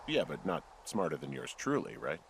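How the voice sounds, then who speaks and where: Low-voiced, wry fire lookout. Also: male voice, very animated, normal speed, slight background noise, exaggerated voice. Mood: mock boastful. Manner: dry, self-deprecating, casual. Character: wry, easygoing male fire lookout out exploring. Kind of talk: naturalistic video game dialogue.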